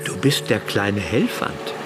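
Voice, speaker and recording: A man's voice: on the low side, very animated, slow, over loud music, exaggerated voice. An actor playing a teasing, kindly man who talks coaxingly to a small boy in a radio drama. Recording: noisy outdoor-like ambience behind.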